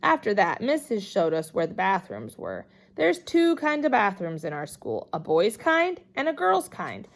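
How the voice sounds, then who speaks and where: A woman giving an expressive read-aloud of a children's chapter book in an animated manner. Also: medium pitch, unhurried, vocal fry, few pauses. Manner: animated, acts out the dialogue. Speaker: storybook reader.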